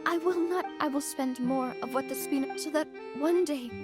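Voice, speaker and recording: A woman playing a principled, sincere young female leader in a video game, speaking earnestly and with measured care. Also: high voice, lightly acted. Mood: grieving, sincere. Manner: earnest, measured, halting when emotional. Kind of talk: dramatic video game voice acting. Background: music.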